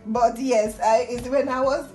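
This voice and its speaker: A woman's voice: a little high, steady tone, a little slow, a little mellow. A middle-aged woman with a Jamaican accent giving casual cooking instruction as a host. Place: echoey home kitchen. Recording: echoey room, faint music. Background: faint music.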